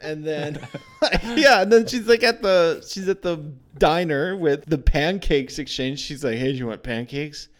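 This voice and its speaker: A man's voice: fairly high pitch, unhurried. A man co-hosting a casual film review podcast, incredulous and asking rhetorical questions. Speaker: podcast co-host.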